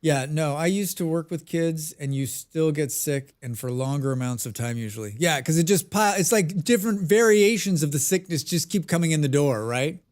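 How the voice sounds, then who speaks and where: A man's voice: a little high, expressive, normal speed, hardly any pauses, darkish tone. An American man chatting casually with his viewers while playing a game on a live stream, reading and answering their comments. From United States.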